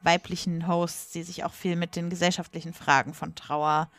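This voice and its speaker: A woman's voice: on the low side, flat delivery, normal speed, a bit bright, dry recording. A woman hosting a podcast in a casual, conversational way, moderating the talk. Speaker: podcast host.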